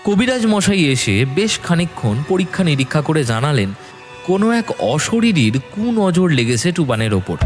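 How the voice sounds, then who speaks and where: A man narrating a scripted horror story in a dramatic, expressive and suspenseful storytelling manner. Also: fairly high pitch.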